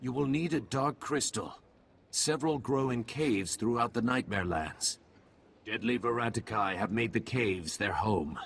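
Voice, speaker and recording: A man voicing a grave, calm alien mystic in a video game, giving terse, ominous pronouncements in a husky, creaky voice. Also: mid-range voice, a little slow, slight background noise. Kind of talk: video game cutscene acting.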